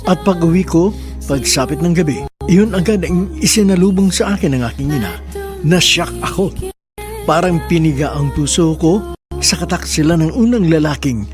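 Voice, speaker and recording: A man's voice: fairly high pitch, lively intonation, moderate pace, music in the background, natural voice. A man performing a lovelorn letter writer's story as earnest, sorrowful radio drama narration. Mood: hurt. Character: lovelorn, betrayed letter writer recounting heartbreak.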